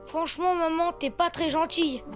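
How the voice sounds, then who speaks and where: A woman's voice: extremely high pitch, fairly even intonation, normal speed. A woman voicing a polite, eager young boy in a children's cartoon, with an earnest, chirpy way of talking. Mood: embarrassed, pleading. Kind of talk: animated children's cartoon dub. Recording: music behind the voice.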